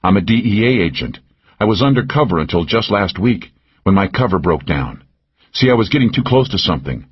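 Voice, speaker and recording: A man voicing a cool, dry-witted woman drug agent in an audiobook, with a deadpan manner. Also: low voice, fairly quick, husky, muffled recording, heavily acted. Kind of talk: audiobook character voice.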